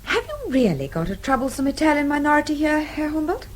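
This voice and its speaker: A woman's voice: high-pitched, wildly swooping pitch, fast. An actress playing an outspoken, earnest young Englishwoman with a British accent in a radio drama, well-spoken and polite. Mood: pointed.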